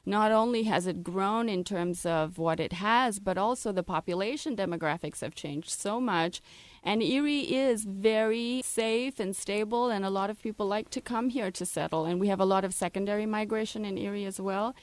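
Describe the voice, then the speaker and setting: A woman's voice: medium pitch, moderate pace, no room sound. A woman speaking as an interview guest, explaining things in an articulate and earnest way. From grew up in Russia, Egypt, Canada and Brazil. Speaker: interview guest from a multicultural center. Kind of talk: radio interview, explanatory conversation.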